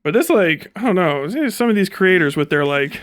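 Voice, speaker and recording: Higher-voiced man riffing bluntly on livestream. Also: big pitch swings, moderate pace, fairly bright tone. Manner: profane, blunt, sardonic. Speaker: live streamer. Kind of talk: off-the-cuff livestream commentary.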